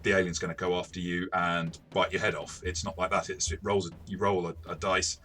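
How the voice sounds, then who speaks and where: Low voiced guy, bright clear edge, casual review chat, explains stuff in a measured way. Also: little pitch movement. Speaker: co-host and game master.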